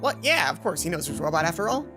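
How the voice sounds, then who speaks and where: A woman's voice: lowish voice, big pitch swings, racing. A woman voicing a friendly bearded man in a video game, a worried robot caretaker who talks in a casual, hesitant, buddy-like way over music. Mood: bewildered. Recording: music behind the voice.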